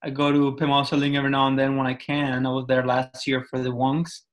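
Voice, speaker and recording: A man's voice: mid-range voice, completely flat delivery, fast talker. A man from Colombia chatting on a video call, hesitant and full of ums and yeahs. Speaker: workshop participant. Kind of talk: casual video call chat.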